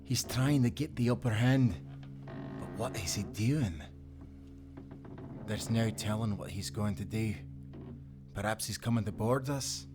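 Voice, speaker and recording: A man's voice: lowish voice, measured pace, long pauses, lightly acted. A man playing a loyal, salty sailor in a nautical audio drama, protective and urgent, with dramatic seafaring turns of phrase. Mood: tense, wary. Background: music.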